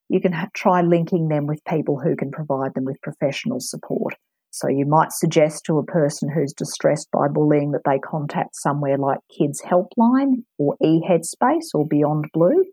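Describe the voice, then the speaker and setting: A woman, giving calm, measured advice as an interview guest, with frequent ums. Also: low voice, slightly rough, dry recording, poor audio. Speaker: anti-bullying expert guest. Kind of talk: calm advisory interview answers.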